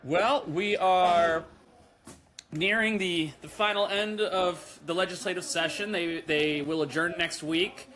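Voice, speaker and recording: A man's voice: high-pitched, fairly even intonation, a little slow, a bit bright. An American man in his thirties from Missouri co-hosting a podcast over a remote connection, chatting casually and repeating phrases for emphasis. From Missouri, United States. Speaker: podcast co-host. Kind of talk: casual catch-up chat. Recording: noisy remote internet connection.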